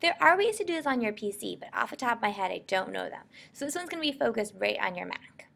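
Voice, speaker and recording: American female instructor for tutorial narration, informal and explanatory, lively intonation with a creaky edge. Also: fairly high pitch, fast talker. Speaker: software instructor.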